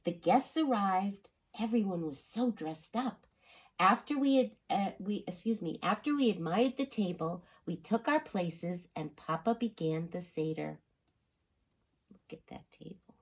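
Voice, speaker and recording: A woman's voice: mid-range voice, expressive, a little slow, poor audio. A woman reading a children's story aloud, expressively, sometimes turning to address her listeners.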